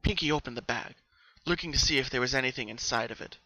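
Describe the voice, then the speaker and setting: A man reads a story aloud in a dramatic, suspenseful way. His voice is strikingly bright and sharp at a middle pitch. The sound is close and dry, with very little room to it. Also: fast, no room sound, poor audio. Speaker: narrator. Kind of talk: dramatic fan fiction reading.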